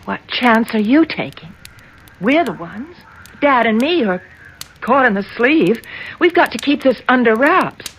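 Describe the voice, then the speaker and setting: A woman's voice: fairly high pitch, sing-song, measured pace, poor audio. An actress playing an anxious, ashamed young woman in a 1970s radio drama, hesitant and earnest. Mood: nervous pleading. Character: anxious, ashamed young senator's daughter, pleading. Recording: old radio broadcast, faint noise.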